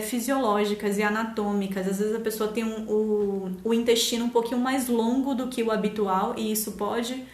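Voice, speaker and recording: A woman's voice: mid-range voice, reverberant. A woman from Salvador with a Bahian accent, a nutritionist chatting on a live stream, explaining casually with many fillers. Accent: Bahian Portuguese. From Salvador, Brazil. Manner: explanatory, hesitant with fillers, laughs easily. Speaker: nutritionist host.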